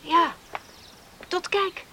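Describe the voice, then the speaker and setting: A young village girl in a radio drama, full of caring questions. The voice is extremely high and bright, over a faintly noisy recording. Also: fairly even intonation, extremely slow, full character voice. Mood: fondly concerned. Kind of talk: Dutch radio play acting.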